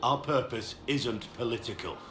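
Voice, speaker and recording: An actor playing a gruff, stern veteran warrior in a video game, with a blunt, commanding manner. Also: male voice, mid-range voice, moderate pace, lightly acted. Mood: wary, blunt. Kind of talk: video game cutscene acting. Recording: echoey with music behind.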